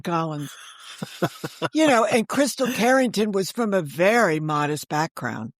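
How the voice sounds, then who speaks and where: A woman's voice: mid-range voice, sing-song, unhurried, dry recording, clean studio sound. A woman being interviewed as a guest, telling stories in a chatty, digressive way and voicing other people's remarks as she goes. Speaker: interview guest, author and storyteller. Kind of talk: animated anecdotal storytelling in casual conversation.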